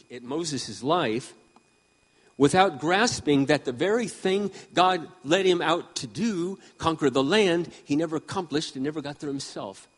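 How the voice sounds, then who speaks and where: A man around sixty preaching a sermon with impassioned emphasis, swinging between loud peaks and quiet asides. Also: fairly high pitch, breathy edge, big-room sound. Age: 60s. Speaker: pastor.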